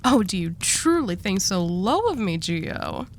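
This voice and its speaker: A woman's voice: mid-range voice, sing-song, measured pace, a little hiss or hum. A woman acting as a dominant female mafia boss in an intimate audio roleplay, composed, teasing and coolly seductive. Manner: teasing, condescending endearments, composed.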